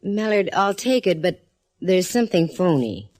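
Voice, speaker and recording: An actress playing a sassy, wisecracking young woman private eye in a 1940s radio drama, teasing and quick with comebacks. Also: lowish voice, very animated, a little mellow, no echo, clean studio sound. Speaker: private eye lead and narrator. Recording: old radio broadcast with faint hiss.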